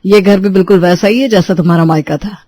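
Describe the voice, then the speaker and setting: A gentle young husband, reassuring and soft, spoken in the storyteller's own plain voice by a woman. Dry, close sound. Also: medium pitch, quick pace, no room sound, close to an everyday voice.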